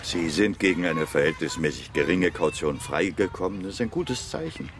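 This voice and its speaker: An actor in a radio crime drama playing a concerned, inquisitive man with a gravelly voice. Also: sits low, expressive, normal speed. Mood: probing, sympathetic. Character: concerned, inquisitive male acquaintance questioning a couple.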